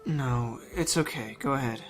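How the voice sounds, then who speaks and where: A man's voice: medium pitch, normal speed, over music, close to an everyday voice. A man acting a frank, casual teenage boy in a zombie apocalypse audio drama. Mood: earnest, casual. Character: frank, foul-mouthed teenage boy with secret.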